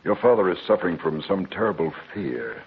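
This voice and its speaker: Very deep, gravelly country doctor. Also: male voice, animated, phone-quality sound. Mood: solemn, troubled. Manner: measured, solemn, paternal. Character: grave, kindly male country family doctor. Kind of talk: old-time radio drama acting. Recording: thin noisy old radio recording.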